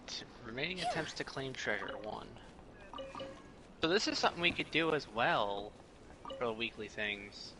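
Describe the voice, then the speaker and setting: A man's voice: mid-range voice, sing-song, normal speed, long pauses, noisy background. A man chatting casually as a co-commentator during a video game stream. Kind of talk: casual chat during gameplay.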